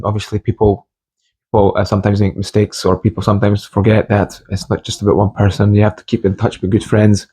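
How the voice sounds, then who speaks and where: A young man from Scotland and Hungary giving an informal motivational monologue with personal stories, speaking haltingly and repeating phrases for emphasis. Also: lowish voice, a little mellow. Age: young adult. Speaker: motivational video host.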